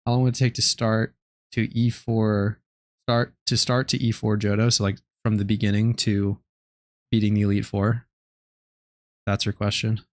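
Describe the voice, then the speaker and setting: A young man streaming a game live, chatting casually in a low-key, relaxed way with many ums and restarts. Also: moderate pace, no room sound, studio quality. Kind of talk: casual live-stream chat while gaming.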